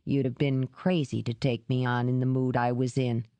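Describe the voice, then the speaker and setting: A gruff, guarded male ex-cop, voiced by a woman narrator, pitched well below her own voice and speaking slowly with quiet weight. The recording is close and dry, with no room sound. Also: little pitch movement, no echo. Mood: insistent. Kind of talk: audiobook character reading.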